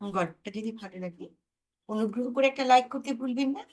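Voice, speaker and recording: A woman, reading a comic story aloud as an expressive narrator. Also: fairly high pitch, a little fast. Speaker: audio story narrator and channel host.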